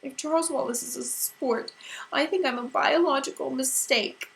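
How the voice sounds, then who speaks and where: A woman voicing an insecure, emotional teenage girl whose voice runs high, strained and shaky. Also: moderate pace, creaky, a bit bright, slight background noise. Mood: self-critical.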